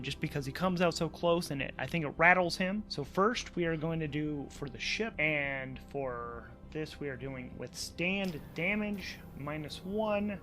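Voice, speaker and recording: A man hosting a solo tabletop role-playing game, narrating and thinking aloud in an animated, conversational way. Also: on the high side. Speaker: host and solo game master. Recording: background music under the voice. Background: music.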